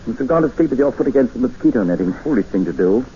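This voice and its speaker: An actor playing a brisk, unflappable older expedition doctor in a 1950s radio drama, clipped and matter-of-fact. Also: male voice, medium pitch, lively intonation, dark tone, a little hiss or hum, close to an everyday voice. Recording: dull old radio broadcast.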